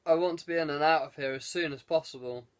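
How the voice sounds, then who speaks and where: A man's voice: on the high side, fast talker, clear, resonant tone, lightly acted. A man voicing a decisive, practical male adventurer leading a treasure hunt in an audiobook. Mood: brisk, decisive.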